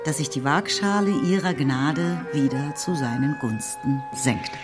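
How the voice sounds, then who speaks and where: A woman narrating a radio play in a measured, even storytelling manner. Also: deep voice, music in the background.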